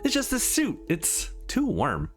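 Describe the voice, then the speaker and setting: A man's voice: sits high, huge pitch swings, unhurried, pitched above the performer's own voice, exaggerated voice. A man voicing a shy, playful, sweet young woman in a visual novel, hesitant and bashful, with music underneath. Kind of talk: visual novel character read-aloud.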